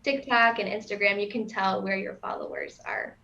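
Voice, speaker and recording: A woman's voice: mid-range voice, wildly swooping pitch, normal speed, gravelly, bright, forceful tone. A woman from the USA, a guest on a casual podcast, explaining plainly in a friendly way with frequent laughs. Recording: dull lo-fi audio.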